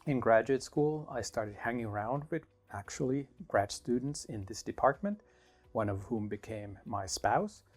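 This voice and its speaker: A man's voice: medium pitch, lively intonation, slightly rough, faint music behind. Middle-aged male professor. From Finland. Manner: thoughtful, measured, with small restarts. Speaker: political science professor. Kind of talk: interview answers.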